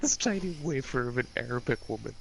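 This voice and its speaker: A man with a gravelly, creaky voice of middling pitch plays in an online tabletop game. He is informal and swears, his pitch moving around a lot. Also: expressive, quick pace, very noisy. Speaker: player in a tabletop role-playing game. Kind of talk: casual game chat.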